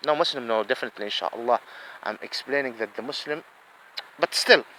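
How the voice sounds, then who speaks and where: A man's voice: mid-range voice, brisk, pushed and bright, slight background noise, poor audio. A man with an Arabic accent giving a heated solo monologue, repeating himself and mixing in Arabic phrases. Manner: heated, repeats words, switches between English and Arabic.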